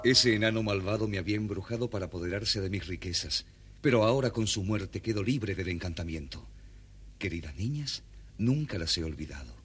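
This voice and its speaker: Low-voiced gallant prince. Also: male voice, expressive, a little fast, husky, soft background music. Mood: solemn gratitude. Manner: solemn, formal. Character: gallant, grateful young fairy-tale prince. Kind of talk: children's audio storybook acting. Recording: very noisy old record with clicks.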